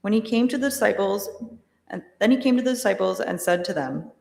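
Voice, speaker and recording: A woman serves as narrator of a scripture drama. Her voice sits at a middle pitch. It carries long reverb from a large, echoey church. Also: animated, a little fast, gravelly, darkish tone. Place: large church, live reading.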